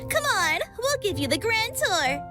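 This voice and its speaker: Woman voicing a very high, friendly cartoon girl. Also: very animated, a little slow, over music, heavily acted. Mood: cheerful. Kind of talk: comic dub acting.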